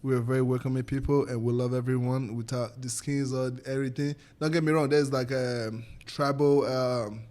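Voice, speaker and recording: Young man, Nigerian accent, native speaker of a local language; podcast guest, informal animated storyteller, mid-range voice. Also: medium pitch, moderate pace, few pauses. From Nigeria, Edo State. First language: Edo State local language. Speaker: podcast guest, former college athlete. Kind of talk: casual chat.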